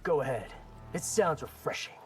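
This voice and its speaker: Man as a cocky, higher-voiced young fighter. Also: animated, moderate pace, a little hiss or hum, lightly acted. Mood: cocky, wry. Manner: casual, wry, quippy. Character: cocky, wry young male human fighter. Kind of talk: video game fight dialogue.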